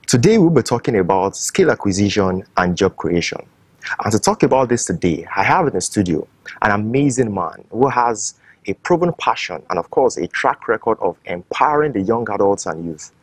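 Husky-voiced male studio host. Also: fairly low pitch, very animated, normal speed, no room sound. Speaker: program host and interviewer. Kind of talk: scripted studio interview introduction.

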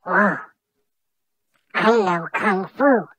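A man voicing a bewildered, excitable bookworm creature with a squeaky voice. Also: very slow, slight background noise, exaggerated voice.

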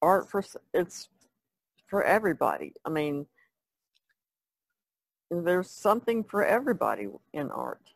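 Gravelly, lively woman chatting. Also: on the low side, measured pace, long pauses, no room sound. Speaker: podcast panelist. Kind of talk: casual chat.

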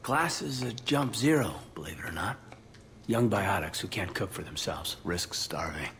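Male soldier, North American, breathy, unhurried, lively pitch, flirtatious, game romance scene. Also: full character voice. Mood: soft, flirtatious. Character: warm, flirtatious male soldier in his thirties. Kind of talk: video game romance acting. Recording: faint game music behind.

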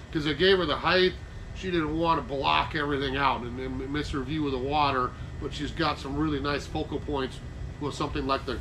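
Older American male presenter, fairly high voice, chatty teaching style, light ambient noise. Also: sharp tone, rough recording. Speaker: nursery class presenter. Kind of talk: informal gardening lecture. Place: covered wooden garden-nursery structure. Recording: noticeable background noise.

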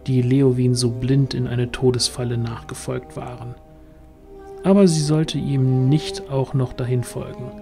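A man's voice: medium pitch, warm tone. A man reading scripted narration as the storyteller of a fantasy audio drama. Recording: music underneath.

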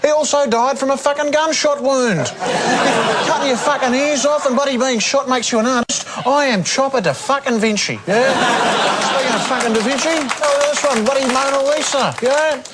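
An actor playing a crude, cocky Australian criminal doing a blunt, deadpan comic auction monologue. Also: male voice, very high-pitched, moderate pace, over a noisy crowd. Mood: cocky, mocking. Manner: blunt, foul-mouthed, deadpan drawl. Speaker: sketch performer as auctioneer. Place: stage with podium and red curtains. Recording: laughing audience, clear background noise.

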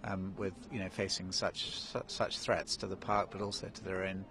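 A man's voice: low voice, level tone, normal speed, a crowd in the background. A man in his forties who grew up in Kenya, answering questions in a live outdoor TV interview, hesitant with many ums and restarts. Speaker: interview guest, national park director. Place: outdoors in snow. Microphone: handheld mic held by interviewer.

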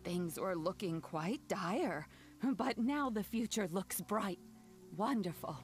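An actress voicing a kindly, proud old granny in a video game, with a gentle, measured, reassuring manner. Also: female voice, mid-range voice, lively intonation. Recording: game music behind.

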